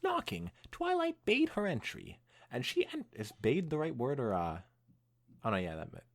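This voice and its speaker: A young man hosting a podcast, reading fan fiction aloud in an animated way mixed with casual chat. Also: medium pitch, moderate pace.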